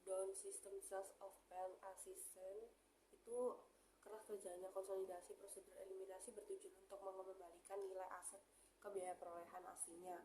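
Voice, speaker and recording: A young woman reading out a class presentation haltingly, stumbling over English terms. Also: medium pitch, flat delivery, warm tone. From Indonesia. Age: young adult. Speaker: student presenter. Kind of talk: class presentation, reading accounting slides aloud. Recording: roomy echo, faint background noise.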